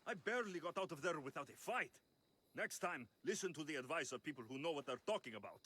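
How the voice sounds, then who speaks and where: A man's voice: on the high side, animated, fast, sharp tone, breathy edge, exaggerated voice. A man voicing a bold mercenary hero in a game who acts in a stage play with rousing, theatrical lines. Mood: smug.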